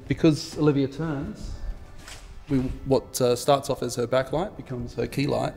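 Aussie-guy in his 40s, gravelly voice, talks camera gear slow n techy, echoey big stone hall. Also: medium pitch, a little mellow, soft background music. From New Zealand. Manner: unhurried, technical, with frequent uh fillers. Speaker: cinematographer. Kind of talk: explaining work on set. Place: large historic house interior.

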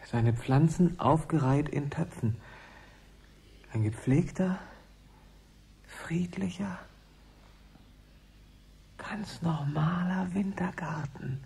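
An actor in a German radio play playing an earnest, shaken man who speaks haltingly. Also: a little high, vocal fry, warm tone. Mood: shocked. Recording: 1980s radio drama, faint noise.

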